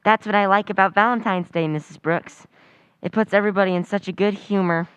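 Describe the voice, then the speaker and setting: A woman's voice: medium pitch, steady tone, a little fast, smooth, dry recording, lightly acted, poor audio. A woman playing an eager, chatty teenage schoolboy in an old-time radio comedy, with gushing politeness. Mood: cheery, eager. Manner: earnest, gushing, boyish.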